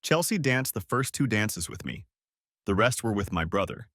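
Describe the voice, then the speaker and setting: A man narrating a first-person story for an audiobook, raising his pitch for quoted women. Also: sing-song, moderate pace, a bit bright, dry recording, clean studio sound.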